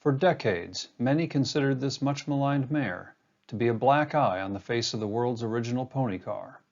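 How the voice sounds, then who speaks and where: A man giving a scripted documentary narration in an even, monotone delivery, recorded as a voice-over. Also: mid-range voice, normal speed, gravelly, dull audio. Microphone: home-recorded voice-over.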